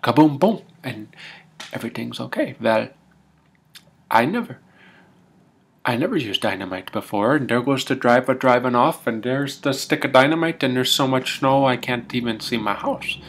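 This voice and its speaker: A man playing a folksy, rambling Minnesotan husband with a Scandinavian-tinged Minnesota accent, telling comic tall tales in halting, homespun grammar. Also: mid-range voice, animated, a bit bright, husky. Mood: bewildered. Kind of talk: comic tall-tale storytelling.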